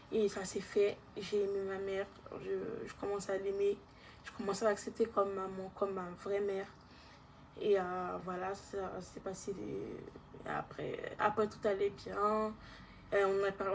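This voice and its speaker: A young woman from Haiti with a Guadeloupean accent, giving a halting personal testimony in casual speech. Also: medium pitch, darkish tone, slight background noise. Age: young adult.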